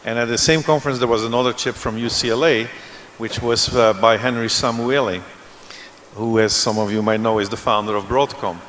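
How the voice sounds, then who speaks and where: A man's voice: mid-range voice, fairly even intonation, fairly quick, fairly bright tone, slight background noise. A man from Belgium speaking on a conference panel, telling a long, rambling anecdote. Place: large conference hall. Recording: reverberant conference hall.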